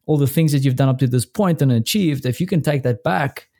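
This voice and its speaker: Male interviewer, warm mellow tone, curious, keeps checking in with "right?". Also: medium pitch, brisk, gravelly. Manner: curious, affirming, ends questions with "right?". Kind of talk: casual interview.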